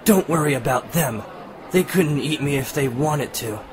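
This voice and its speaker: A man playing a friendly, easygoing teenage boy in an amateur audio drama, casual and relaxed. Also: on the high side, normal speed, lightly acted. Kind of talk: amateur radio drama acting. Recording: noisy, busy crowd ambience behind.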